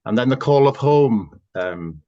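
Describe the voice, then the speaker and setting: A man from North Wales whose first language is Welsh, a chief executive telling his career story as a podcast guest, relaxed with frequent ums. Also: mid-range voice, a little slow, lo-fi sound. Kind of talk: casual interview answer.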